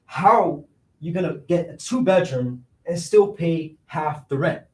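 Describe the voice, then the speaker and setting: High-voiced streetwise hustler played by a man. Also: a little mellow, close to an everyday voice. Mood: insistent, persuasive. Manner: slangy, fast-talking persuader with streetwise phrasing. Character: scheming, cash-strapped young city man, streetwise persuasive hustler. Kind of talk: staged play reading, slangy dialogue. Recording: roomy echo, distant microphone.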